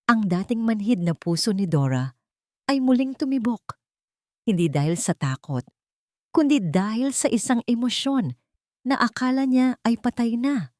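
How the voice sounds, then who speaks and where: A woman narrating a scripted romantic drama in a measured, sentimental storytelling manner. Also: lowish voice, a little mellow, no room sound, clean studio sound.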